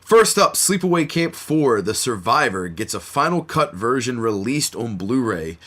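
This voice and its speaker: A man's voice: medium pitch, big pitch swings, normal speed, fairly bright tone. A man hosting a horror podcast in a laid-back, slangy way with plenty of fillers, sounding stuffy from a cold. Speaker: podcast host. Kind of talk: casual podcast chat.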